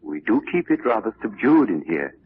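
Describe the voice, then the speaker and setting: An actor playing a polite, easily astonished undertaker in a 1940s radio drama, hesitant and deferential. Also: male voice, on the low side, sing-song, moderate pace. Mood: subdued, deferential. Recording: dull, hissy 1940s radio recording.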